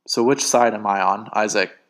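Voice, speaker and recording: A man's voice: fairly low pitch, fairly even intonation, normal speed, lightly acted. A man voicing a hurt young woman in a story, pleading and accusing as she presses her partner. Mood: hurt, challenging.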